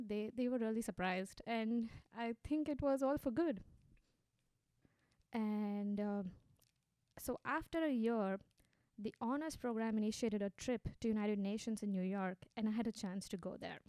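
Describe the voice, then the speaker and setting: A woman in her thirties from India with an Indian accent, a native Hindi speaker, telling her story with animated warmth as a guest in a radio interview. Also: on the high side, dry recording.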